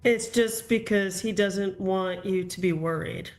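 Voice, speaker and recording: A woman gives a live psychic reading, reassuring and groping for the right words. She speaks very slowly and carefully. Soft music plays faintly behind her. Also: mid-range voice. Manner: slow, reassuring, searching for words. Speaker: psychic reader.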